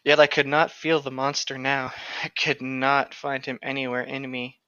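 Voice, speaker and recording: A man's voice: mid-range voice, flat delivery, sharp tone, clean tone, no room sound. A man co-hosting a comic book reading, chatting playfully and laughing easily, with a slightly dull recorded sound. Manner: playful, silly, quick to laugh. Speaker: co-host of a comedic book-reading show. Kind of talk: casual banter and comic read-aloud.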